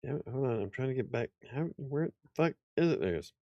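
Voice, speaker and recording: A man hosting a casual after-hours chat show, rambling, laughing often and swearing now and then, with a slightly raspy voice. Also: medium pitch, sing-song, quick pace, dark tone, no echo, lo-fi sound. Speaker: host.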